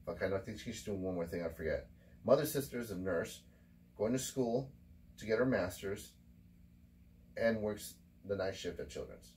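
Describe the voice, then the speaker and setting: Blunt, low-voiced man in his forties. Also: expressive, normal speed, long pauses, a little mellow, rough recording. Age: 40s. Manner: blunt, profane, lecturing. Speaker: podcast host. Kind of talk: casual chat.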